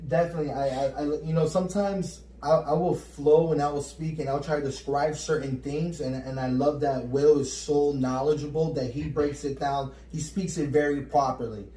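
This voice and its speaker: A Puerto Rican man co-hosting a casual spiritual chat, energetic and repeating words as he gets going. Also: a little high, normal speed, hardly any pauses. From Puerto Rico. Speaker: podcast co-host. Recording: roomy echo, mic at a distance.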